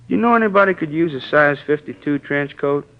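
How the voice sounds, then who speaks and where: A man's voice: on the high side, a little fast, natural voice. A man playing a talkative informant in a 1950s radio drama, chatty and a little sheepish, on a dull old radio recording.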